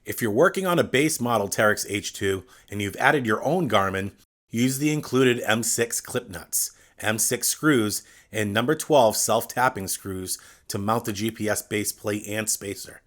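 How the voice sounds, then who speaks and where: A man with a fairly low voice narrates a scripted installation tutorial. He speaks straight to the viewer in a clear, instructional way, going through things step by step. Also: unhurried, a bit bright.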